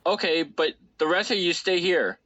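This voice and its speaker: A man playing a brisk party guest in a fan audio murder mystery. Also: high voice, muffled recording, background noise, lightly acted. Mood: decisive. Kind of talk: fan audio drama acting.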